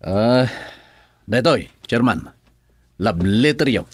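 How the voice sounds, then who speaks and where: A man with an Ilocano Filipino accent playing a cheeky village watchman in a radio comedy, teasing in a drawn-out sing-song. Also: mid-range voice, expressive, slightly rough. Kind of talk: radio drama comedy acting.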